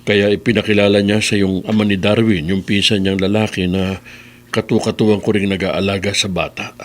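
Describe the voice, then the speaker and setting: A man's voice: lowish voice, little pitch movement, fairly quick, close to an everyday voice. A man playing a concerned older fatherly figure in a Filipino radio drama, solemn as he explains. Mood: grave, concerned.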